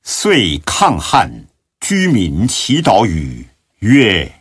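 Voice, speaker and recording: A male narrator recites in a deep, husky voice with very wide swings of intonation. His solemn scripted delivery is measured and dramatic, extremely slow, each phrase set apart. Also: huge pitch swings, no room sound, studio quality. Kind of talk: solemn scripted narration of classical religious biography.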